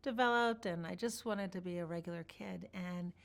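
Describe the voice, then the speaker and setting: Woman recounting memories in a low voice. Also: vocal fry. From born in Iran, grew up partly in Chicago. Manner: measured, thoughtful, warm recollection with pauses. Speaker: interview guest. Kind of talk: reflective personal storytelling.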